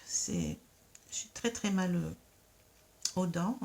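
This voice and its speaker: A woman's voice: on the low side, wildly swooping pitch, drawn-out words, darkish tone. A woman who has a cold, reading tarot cards in a casual, hesitant way, full of fillers and tag questions. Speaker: tarot reader.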